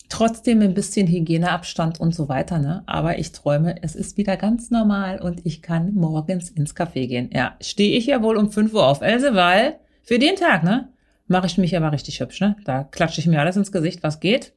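A woman's voice: expressive, moderate pace, darkish tone. A woman with a Berlin accent giving a brash, slangy comic monologue to a friend, mixing in English phrases and playing with theatrical swings in her voice. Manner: playful, theatrical pitch swings.

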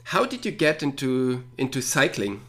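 A man's voice: medium pitch, sing-song, moderate pace, fairly bright tone. A man hosting a podcast interview, asking friendly questions with fillers and repeated words. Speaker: podcast host.

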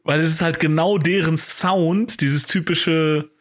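A man's voice: on the high side, steady tone, unhurried. A man co-hosting a casual music podcast, talking in a rambling, opinionated way with many fillers. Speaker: podcast co-host.

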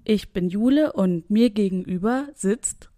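A woman co-hosts a relaxed podcast, recording remotely from a hotel room. Her voice is warm and mellow. She chats in an easygoing way, and the sound is close and dry. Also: mid-range voice, a little slow, dry recording. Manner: relaxed, chatty. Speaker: podcast co-host. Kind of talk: casual chat.

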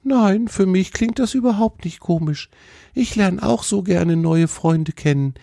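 A man voicing a young male deer in a children's storybook, gentle, curious and friendly, with an earnest, wide-eyed manner. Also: high-pitched, a little slow, gravelly, no echo, studio quality, voice pushed up. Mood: eager, impressed.

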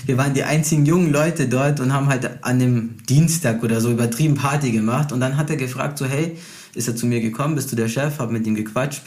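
A man's voice: medium pitch, a little mellow. A young man with a Brazilian background chats casually, telling anecdotes in a slangy, boastful, unfiltered way. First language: Portuguese. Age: young adult.

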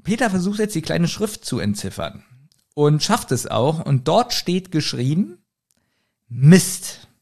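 A man's voice: a little high, very animated, gravelly, a little mellow. A man with a slightly raised voice retells a story as a podcast co-host. He chats casually and sounds amused. He stumbles a little when reading out tricky phrases. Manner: amused, reads out clues haltingly. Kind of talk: casual chat retelling a kids' audio drama.

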